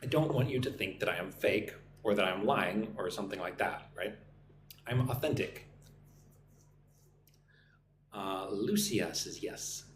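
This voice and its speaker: A man's voice: fairly low pitch, a little slow, pauses often. A man with an American accent hosting a casual English-teaching livestream, reading out comments and greeting viewers in an informal, chatty way. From United States. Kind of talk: casual live chat, reading out and answering comments. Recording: roomy echo, faint background noise.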